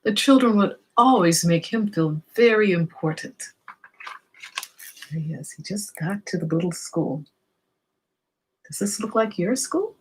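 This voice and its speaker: A woman's voice: mid-range voice, animated, measured pace, pauses often, a little mellow, a little hiss or hum, poor audio. A woman reading a children's story aloud, expressively, with explanatory asides and questions for young listeners.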